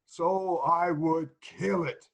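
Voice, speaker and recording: High-voiced, reluctant old shepherd played by a man. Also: fairly even intonation, slow pace, gravelly, exaggerated voice. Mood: reluctant, fearful. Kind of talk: theatrical stage reading of Greek tragedy.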